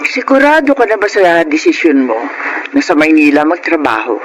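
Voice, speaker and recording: Middle-aged Filipino mother, radio drama: long-suffering, hesitant, motherly, highly varied intonation over noticeable background noise. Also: big pitch swings, moderate pace. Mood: worried, sad. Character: gentle, worried, long-suffering farmer mother. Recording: clear background noise and ambience.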